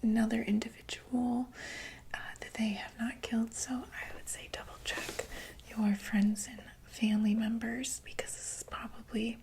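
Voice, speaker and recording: A woman whispering breathily in an ASMR medical roleplay, explaining things as a calm doctor in a gentle, hesitant, clinical way. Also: a little high, normal speed. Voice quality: breathy, mostly unvoiced whisper. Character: calm, attentive doctor to monsters.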